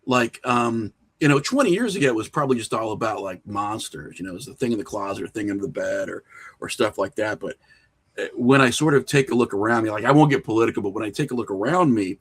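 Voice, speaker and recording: A man's voice: lowish voice, animated, fast talker, slightly rough. A middle-aged man chatting casually as a podcast guest, candid and self-mocking, with loose profanity. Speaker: podcast guest, horror filmmaker and writer. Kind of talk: casual interview chat.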